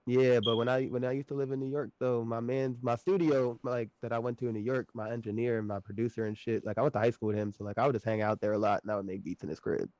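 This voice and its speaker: A man's voice: mid-range voice, steady tone, fast, few pauses, darkish tone, no echo. A man in his late twenties, chatting loosely as a podcast guest, laughing often and swearing casually. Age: late 20s.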